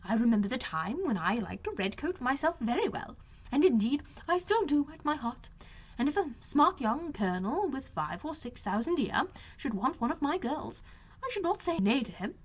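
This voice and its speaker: A shrill-pitched, fussy, excitable mother. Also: slightly rough. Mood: wistful, gushing. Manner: gushing, emphatic, insistent. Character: excitable, fussy, scheming middle-aged mother.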